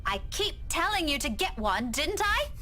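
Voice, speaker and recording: An actress playing a prickly, exasperated young woman in a visual novel game, with emphatic, drawn-out delivery. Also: sits high, big pitch swings, bright, forceful tone, soft background music, exaggerated voice. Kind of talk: dramatic game voice acting.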